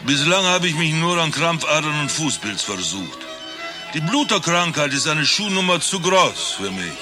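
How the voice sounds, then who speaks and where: An actor playing an eccentric doctor who claims to be Rasputin reborn, telling his tale in a deadpan, grandiose way in a satirical radio play. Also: fairly high pitch, moderate pace, over music. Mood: brazen, reproachful. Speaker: doctor recounting his past life.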